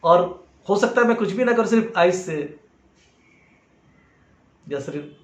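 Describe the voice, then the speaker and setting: A man with an Indian accent giving an explanatory lesson in a measured way as a music teacher. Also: high voice, animated. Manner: measured, demonstrates points with acted example phrases. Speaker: music teacher and video host. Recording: roomy, echoing sound.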